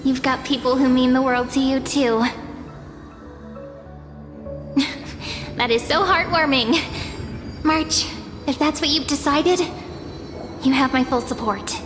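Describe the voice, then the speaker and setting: Casting: mysterious young woman guardian for anime game, gentle and sincere, high register, slow measured delivery. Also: steady tone. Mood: touched, supportive. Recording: echoey long reverb, faint music behind.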